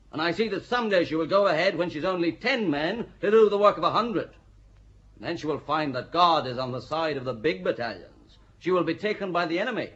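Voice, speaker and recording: A blunt army commander. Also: male voice, on the high side, fairly bright tone. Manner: measured, stern. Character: seasoned male army commander, blunt and pragmatic. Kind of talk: radio play acting. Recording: dull lo-fi recording.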